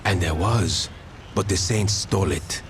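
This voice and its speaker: An actor playing a menacing, calm male drug lord with a deep, slow, controlled voice in a video game. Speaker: gang leader. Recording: game audio with background noise.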